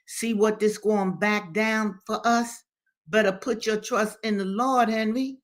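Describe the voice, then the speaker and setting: A woman's voice: medium pitch, a little slow, pitched above the performer's own voice, close to an everyday voice. A woman voicing a devout older motherly woman who admonishes in folksy dialect, in a dramatic novel reading. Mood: worried admonishing.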